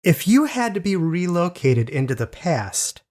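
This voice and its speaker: A man hosting a casual podcast interview, speaking enthusiastically in a halting way and often repeating his words as he goes. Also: high-pitched, big pitch swings, darkish tone.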